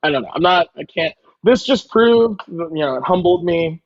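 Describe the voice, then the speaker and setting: A man's voice: a little high, animated, moderate pace, dry recording, lo-fi sound. A man chatting casually as a guest chef, with a joking, self-deprecating manner. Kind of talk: casual chat.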